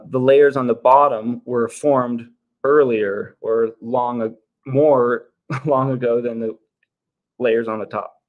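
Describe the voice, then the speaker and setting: Halting man in his thirties explaining. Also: mid-range voice. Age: 30s. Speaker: debater arguing for evolution. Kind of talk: informal debate presentation. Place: indoors, on a video call.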